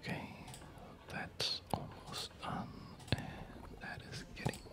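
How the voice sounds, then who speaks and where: Tired asmr gamer whispering, slow n rambly, kinda hesitant game commentary. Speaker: ASMR video game player and host.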